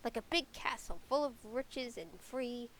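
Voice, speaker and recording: A woman's voice: fairly high pitch, animated, measured pace, fairly bright tone, slight background noise. A woman voicing a wary, world-weary forest witch from a fantasy game, with a sceptical, foreboding manner.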